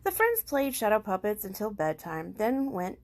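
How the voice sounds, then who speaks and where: A woman's voice: mid-range voice, sing-song, moderate pace, a little mellow, a little hiss or hum. Woman reading a children's storybook aloud. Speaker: amateur storybook reader.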